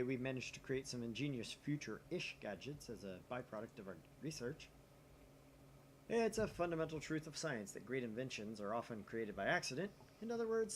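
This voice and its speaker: A grandiose young mad scientist acted out by a man declaims with big pitch swings and a pompous, self-important air. It sounds like visual novel dialogue, with faint noise behind it. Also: fairly bright tone. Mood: triumphant, dramatic.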